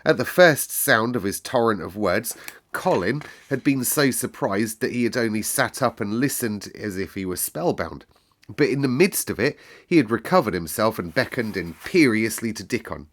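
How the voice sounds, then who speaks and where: A man reading a story aloud as narrator, expressive and dramatic, with deliberate emphasis. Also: lowish voice.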